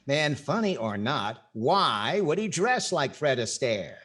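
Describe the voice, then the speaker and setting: Animated, skeptical radio comedy star played by a man. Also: mid-range voice, takes it slowly, exaggerated voice. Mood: wry. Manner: wry, doubtful, theatrical timing. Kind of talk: 1940s radio comedy acting.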